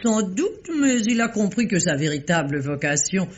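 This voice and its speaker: A gossipy, teasing society man, high and lively. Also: moderate pace. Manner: chatty, teasing. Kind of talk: 1950s French radio drama acting. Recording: muffled old radio recording.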